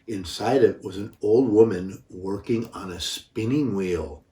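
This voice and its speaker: An older man narrates a children's story at a very slow pace, with dramatic emphasis. His voice sits fairly low. It was recorded from a distance in an echoing room. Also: mellow. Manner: unhurried, dramatic emphasis, occasional stumbles. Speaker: grandfather reading stories. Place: echoey room, away from the mic. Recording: roomy, echoing, distant microphone.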